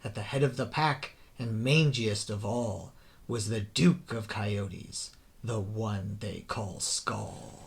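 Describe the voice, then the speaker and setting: A man narrating a rhyming children's story in a theatrical, deliberate way, drawing out the ends of phrases. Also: on the low side, creaky, slight background noise. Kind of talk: dramatic scripted children's story narration in rhyme.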